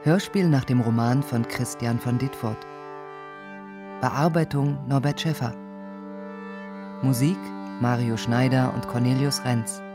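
Male radio-play announcer: unhurried, formal credits reading, medium pitch, brass music behind. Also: fairly even intonation. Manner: measured, formal.

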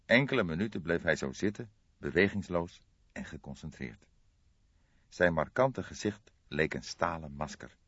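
A man reading a detective novel aloud as scripted narration, in a measured storytelling manner. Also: low voice, animated, fairly bright tone, breathy edge. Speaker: audiobook narrator. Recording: dull lo-fi, boxy roomy sound.

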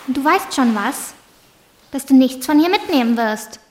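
A woman's voice: sits high, animated, unhurried. A woman playing a cautious, principled thirteen-year-old girl in a radio play, speaking in terse, admonishing phrases. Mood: reproachful. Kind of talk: German radio play acting. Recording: roomy echo, music behind.

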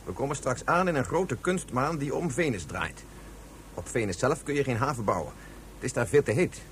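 A man playing an earnest physicist father who explains things briskly in a Dutch science fiction radio play. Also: medium pitch, expressive, fairly bright tone, slight background noise, lightly acted. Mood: brisk, explanatory.

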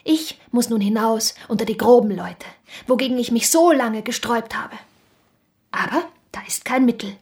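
An actress playing a proud young shopkeeper's daughter in a radio drama, blunt and reproachful, sometimes dropping to a hushed murmur. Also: female voice, on the high side, normal speed, close to an everyday voice. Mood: bitter, grieving.

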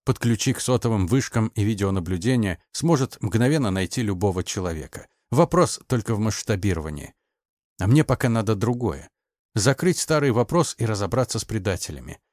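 Мужчина-чтец, сухая запись. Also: male voice, on the low side, lively intonation, a little fast, a little mellow, no room sound, clean studio sound. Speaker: audiobook narrator. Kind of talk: scripted dramatic narration.

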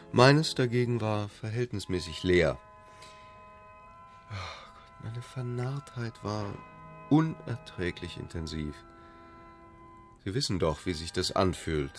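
An actor playing an urbane middle-aged playwright in a radio play, with dry, polished wit and an unflappable manner. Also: male voice, on the low side.

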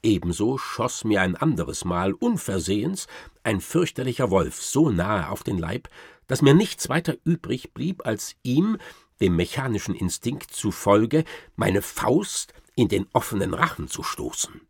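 Husky, deep-voiced boastful baron. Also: male voice, no room sound, clean studio sound, full character voice. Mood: excited, emphatic. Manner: ornate, deliberate, dryly ironic, expressive. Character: boastful old-time baron telling tall hunting tales. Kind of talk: theatrical first-person storytelling in a radio play.

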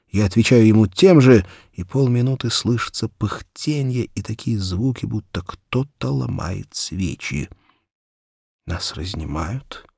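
Male audiobook narrator, lowish and unhurried, acting out a children's tale with feeling, in a very dry studio sound. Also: slightly rough, dry recording. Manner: expressive, measured, acted delivery. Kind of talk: dramatic literary narration of a children's story in first person.

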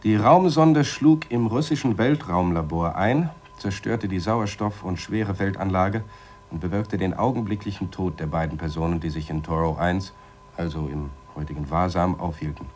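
An actor playing a matter-of-fact space lab astronaut in a radio drama, explaining in a measured, dryly sarcastic way. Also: male voice, deep voice, darkish tone, a little hiss or hum, close to an everyday voice. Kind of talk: radio drama acting, spoken report.

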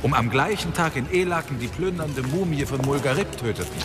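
An actor playing a studious young pupil hero in a German fantasy radio drama, earnestly reciting lore. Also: male voice, a little high, animated, fairly quick, natural voice. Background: loud music.

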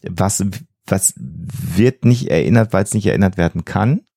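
A man explaining psychology on a podcast in a measured way, hesitating as he searches for precise wording. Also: low-pitched, lively intonation, gravelly, warm tone, breathy edge, no echo, studio quality.

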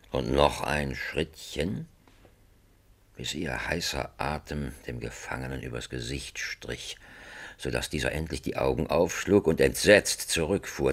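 Male narrator, bottom-deep bass, gravelly, slow, wry, deliberate, radio play storytelling. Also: full character voice. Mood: wry, suspenseful. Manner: deliberate, drily suspenseful. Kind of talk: scripted radio drama narration.

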